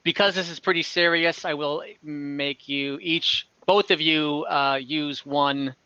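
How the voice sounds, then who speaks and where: A man with a bright, slightly high voice improvises as game master for an online fantasy game. He talks casually and haltingly, in a dry, slightly muffled recording. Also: steady tone, clean tone, dry recording.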